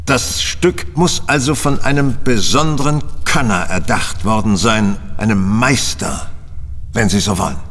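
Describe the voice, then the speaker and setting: An actor playing a confident, analytical gentleman detective with precise, formal phrasing in a German audio drama. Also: fairly low pitch, a little slow. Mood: analytical confidence. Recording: long hall reverb.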